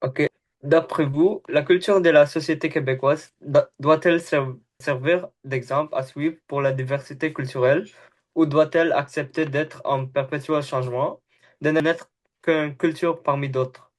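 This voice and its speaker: A man's voice: fairly high pitch, steady tone, normal speed. A man reading interview questions for a school project and stumbling on some words. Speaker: student interviewer.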